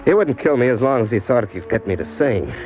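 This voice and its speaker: A man's voice: mid-range voice, animated, fast, natural voice. A man acting a tough, slangy, wisecracking drifter who narrates his own crime story in an old-time radio drama. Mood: grim narration. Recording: dull old radio recording with music.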